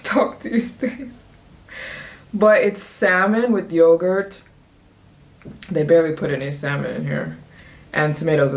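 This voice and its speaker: A woman in her twenties vlogging casually to camera, bubbly and joking, laughing often and jumping between topics. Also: on the low side. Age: 20s. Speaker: travel vlogger.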